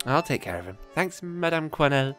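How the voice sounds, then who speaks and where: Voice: medium pitch, sing-song, moderate pace, fairly bright tone, pitched above the performer's own voice. A game player voicing a small, earnest young male sparrow detective in a polite, hesitant way, with faint music behind. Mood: friendly.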